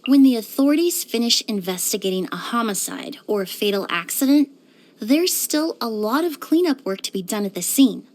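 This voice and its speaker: A young woman game character, a composed perfumer as acted by a woman. Her voice sits high, and she speaks with measured courtesy. The delivery is scripted, softly formal and even-tempered. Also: lightly acted. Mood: matter-of-fact, composed.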